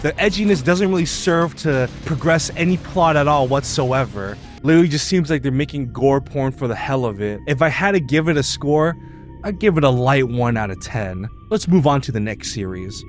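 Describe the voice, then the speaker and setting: A man's voice: medium pitch, animated, moderate pace. A man giving casual, opinionated video commentary in an informal, slangy way that is loose with swearing. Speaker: video essayist. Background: background music.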